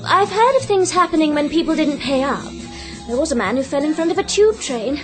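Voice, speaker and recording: A woman's voice: high-pitched, animated, brisk, over music. An actress in a radio drama playing a chatty, gossipy young woman with a girlish manner. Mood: hesitant, gossipy.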